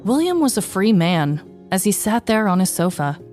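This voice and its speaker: Woman podcaster with lively, animated delivery. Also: medium pitch, normal speed, faint music behind. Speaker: podcast host and storyteller. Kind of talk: scripted true-story narration and casual chat.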